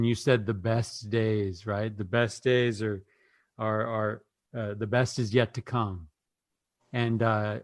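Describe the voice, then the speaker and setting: A man's voice: fairly low pitch, no echo. Earnest man hosting, dry close sound. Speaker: podcast host. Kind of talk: casual group conversation.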